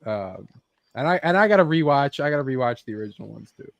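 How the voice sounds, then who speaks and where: A man hosting a casual pop-culture panel, talking in a rambling, halting way and swearing freely. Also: medium pitch, lively intonation, creaky, no room sound, dull audio. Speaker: host of a panel show.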